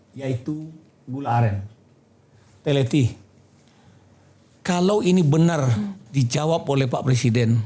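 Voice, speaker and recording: A man's voice: on the high side, darkish tone, echoey room. A man hosting a talk show interview, teasing his guests and then making a formal appeal.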